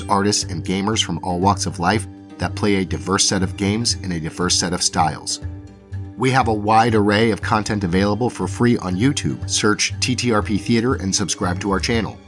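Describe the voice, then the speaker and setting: Low-voiced man narrating fantasy over music. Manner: measured, deliberate scene-setting with dramatic pauses. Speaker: game master and host. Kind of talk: narrating a tabletop fantasy game.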